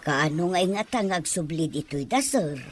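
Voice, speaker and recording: A woman's voice: low voice, animated, normal speed. A woman playing a grumbling employee in a scripted audio drama, with faint noise in the recording. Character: grumbling female employee stuck on an island.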